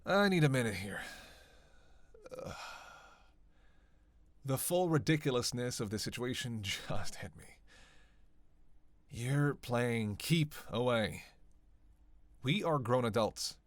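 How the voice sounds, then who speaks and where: A man's voice: mid-range voice, expressive, takes it slowly, long pauses. A man playing a sardonic, playful vampire who banters sarcastically with his hunter in an audio roleplay. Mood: exasperated. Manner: sarcastic, playful, drawling asides with sighs and scoffs. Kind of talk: audio roleplay acting, one-sided dialogue.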